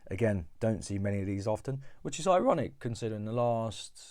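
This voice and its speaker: A man's voice: fairly low pitch, expressive, fairly quick, darkish tone, dry recording, studio quality. A coin dealer with a cold, chatting casually to camera about coins he bought, rambling and repeating words. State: has a cold.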